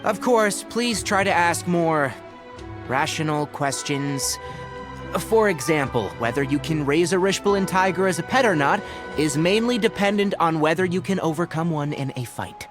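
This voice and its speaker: A man voicing a gentle, scholarly young naturalist in an anime game, precise and dryly didactic. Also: a little high, animated, moderate pace, over music, lightly acted. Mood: composed, dry.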